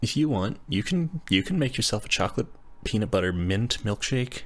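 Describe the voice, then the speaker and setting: A man acts out a young, nerdy engineering student with a low-pitched voice. He rambles and stammers through casual roleplay chat, flustered and quick to poke fun at himself, as if talking to a roommate. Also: lively intonation. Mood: animated, playful. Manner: stammering, rambling, self-deprecating. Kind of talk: intimate roleplay audio, casual scripted chat.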